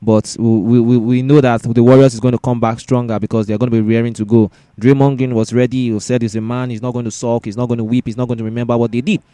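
A man's voice: medium pitch, little pitch movement, fast, few pauses, mellow, no room sound. A man hosting a casual sports discussion podcast, often repeating words and phrases for emphasis.

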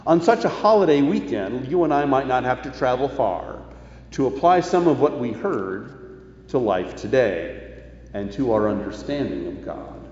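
A man preaching a sermon as a pastor, measured and leaning with emphasis on key phrases. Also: mid-range voice, expressive, darkish tone. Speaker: pastor preaching to his congregation. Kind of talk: sermon, reading and explaining scripture. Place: large reverberant indoor worship space. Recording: echoey church hall, muffled, faint noise.

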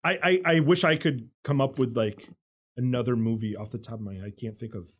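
A man in his forties chatting as a studio guest, loud and animated, sitting back from the microphone. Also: medium pitch, brisk. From Metro Detroit area. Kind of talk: casual chat. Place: radio studio. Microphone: sitting far back from the studio mic.

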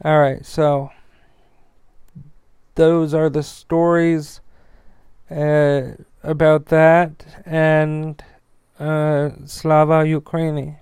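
Halting man with a stutter, very clear voice. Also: a little high, flat delivery, a little mellow, no echo, clean studio sound. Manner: halting, stumbling delivery. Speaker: podcast host. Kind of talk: news rundown and sponsor reads, informal.

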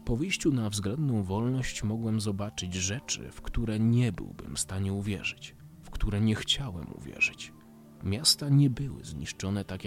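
Casting: male actor as embittered survivor of a bleak future, brooding measured monologue for radio drama, music underneath. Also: on the low side. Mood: brooding, sombre. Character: weary embittered male survivor in a dystopian world. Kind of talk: scripted first-person radio drama monologue.

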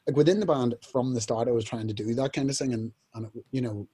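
A young man, a band's singer, telling rambling stories in a casual interview, clearing his throat often. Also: mid-range voice, fast talker, dark tone. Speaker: band singer, interview guest.

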